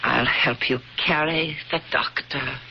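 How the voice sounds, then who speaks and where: Vengeful survivor, measured and cold, fairly low voice for a woman, vintage radio sound. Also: vocal fry, heavily acted. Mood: icily accusing. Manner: measured, icy, deliberate. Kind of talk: 1970s radio drama acting. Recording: dull old radio broadcast with hiss.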